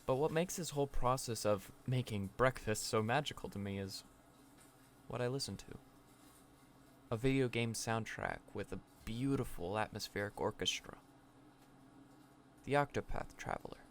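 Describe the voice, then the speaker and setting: A man playing a young podcaster narrating a dreamy, brooding story reflectively, with deliberate dramatic pauses. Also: medium pitch, natural voice. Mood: entranced. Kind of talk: scripted first-person narration.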